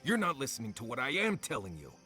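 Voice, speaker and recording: A sardonic, stubborn wilderness guide in a fantasy video game. His mid-pitched voice swings widely as he grumbles bluntly, with music underneath. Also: wildly swooping pitch, quick pace, pushed and bright. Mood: exasperated. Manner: exasperated, sarcastic, blunt. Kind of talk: dramatic video game acting.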